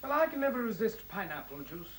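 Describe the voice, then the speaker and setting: Male biologist, radio play: husky, animated, sceptical, precise, drily questioning. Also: high-pitched, fast, heavily acted. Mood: wry, sceptical.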